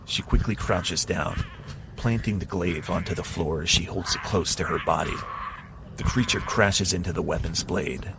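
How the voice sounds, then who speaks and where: A man's voice: deep voice, normal speed. A man playing a solemn narrator, delivering dramatic scripted fantasy storytelling. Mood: tense. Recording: loud effects noise behind.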